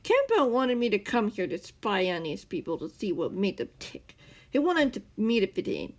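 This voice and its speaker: A gruff, short-tempered captor man who snaps and growls at full volume, as a woman reads him. His pitch jumps around with his temper in this storybook reading. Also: big pitch swings, moderate pace. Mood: angry. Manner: snapping, growling and loud.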